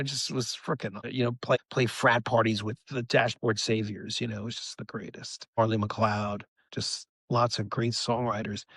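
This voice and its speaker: A man's voice: mid-range voice, dry recording. A middle-aged American man chatting casually as an interview guest, informal and rambling, with enthusiasm. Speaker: guest musician, rock band singer-songwriter. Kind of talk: casual chat.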